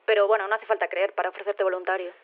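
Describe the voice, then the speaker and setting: An actress playing an easygoing phone-in guest in an audio drama, casual and matter-of-fact, with an accent from Spain. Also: female voice, medium pitch, flat delivery, very fast, natural voice. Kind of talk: conversational fiction interview. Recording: tinny narrow telephone line.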